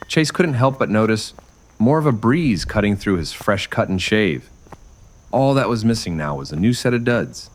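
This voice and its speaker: A man's voice: fairly low pitch, a little hiss or hum. A man narrating a scripted Western story in the manner of old-time radio drama.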